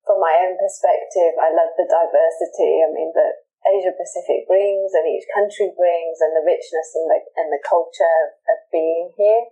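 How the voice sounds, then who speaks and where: A woman from the UK with a British accent, an executive introducing herself in an interview in a measured, earnest way. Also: lowish voice, fairly even intonation, mellow, husky, low-quality recording. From United Kingdom. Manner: measured, earnest, with frequent restarts. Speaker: pharmaceutical executive, interview guest. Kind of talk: interview, professional self-introduction.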